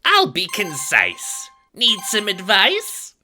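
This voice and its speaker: A jovial clown jester for an audio drama. The voice is high and bright, drawn out slowly in sing-song rhyme. It plays like an eager, merry game helper. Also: male voice, wildly swooping pitch, soft background music, full character voice.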